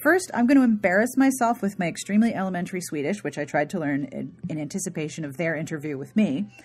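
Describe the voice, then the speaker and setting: A woman's voice: on the low side, fast, a little mellow, husky. A woman podcast host giving a chatty, informal and enthusiastic intro with playful asides.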